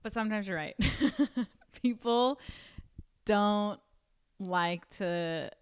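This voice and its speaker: A woman's voice: mid-range voice, extremely slow, smooth. A young woman in her twenties co-hosting a podcast, chatting casually and reflectively and saying "like" often. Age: 20s. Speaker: podcast co-host. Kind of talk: casual chat.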